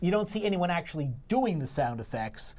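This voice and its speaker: A man's voice: a little high, very animated, brisk, darkish tone. Male co-host reviewing theatre, informal and mildly critical, feeling his way through sentences. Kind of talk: conversational review banter.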